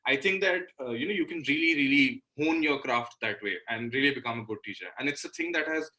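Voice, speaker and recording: A man's voice: mid-range voice, fast, pushed and bright, low-quality recording. A man from Delhi with an Indian accent, talking casually as an interview guest, earnest and repeating words for emphasis. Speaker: interview guest, musician and guitar teacher.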